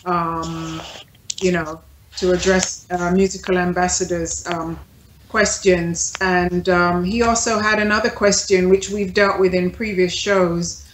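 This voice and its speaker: A woman co-hosting a radio talk show, reading out a listener question slowly with many fillers. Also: lowish voice, fairly even intonation, roomy sound, slight background noise, low-quality recording. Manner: slow and careful with many fillers. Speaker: co-host.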